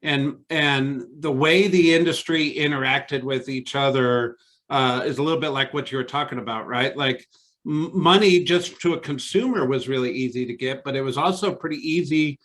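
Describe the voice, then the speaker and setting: A man's voice: medium pitch, moderate pace, a bit bright. Upbeat man hosting an interview. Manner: upbeat, conversational, prompting questions. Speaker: interview host. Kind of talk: casual interview on a call. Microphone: video call.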